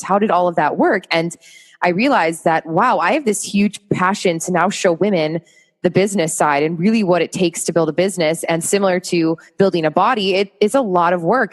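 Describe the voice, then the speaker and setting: A woman's voice: lowish voice, long reverb tail. Chatty woman with a Chicago accent. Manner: chatty, candid, laughs easily. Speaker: guest business coach. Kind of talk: casual interview.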